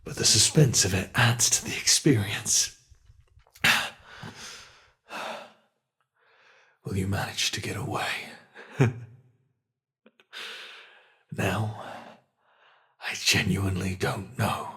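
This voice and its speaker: A man's voice: lowish voice, takes it slowly, long pauses, pushed and bright, full character voice. A man voicing a smug, predatory male monster who teases the prey he is hunting, in an ASMR horror roleplay. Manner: teasing, taunting.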